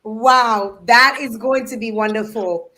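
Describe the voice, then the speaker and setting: A woman's voice: fairly high pitch, steady tone, slow, pushed and bright. A woman hosting a live interview, chatty and asking playful questions. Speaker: host.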